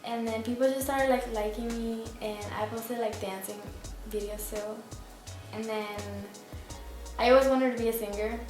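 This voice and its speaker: A young woman from Spain answers interview questions in a soft, laid-back way, dropping filler words as she goes. Her voice sits fairly high. The room rings with strong echo, and faint music plays underneath. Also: steady tone, moderate pace. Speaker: singer, interview guest. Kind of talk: casual interview chat.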